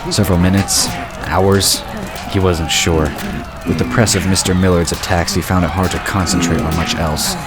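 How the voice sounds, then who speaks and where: Super deep guy reading fantasy story narration, loud music underneath. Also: fairly even intonation, moderate pace, over a noisy crowd.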